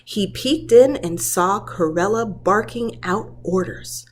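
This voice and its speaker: A woman who works as a preschool teacher, reading a children's story aloud in a dramatic, deliberate storytelling manner. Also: mid-range voice, slight background noise.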